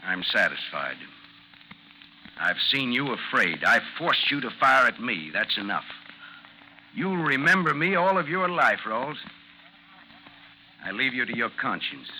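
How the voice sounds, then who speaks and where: A sharp, taunting gentleman duellist. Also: mid-range voice, full character voice. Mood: bitter, controlled. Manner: formal, mocking, deliberate. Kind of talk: 1950s radio drama acting. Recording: noisy 1950s radio recording, slight hiss.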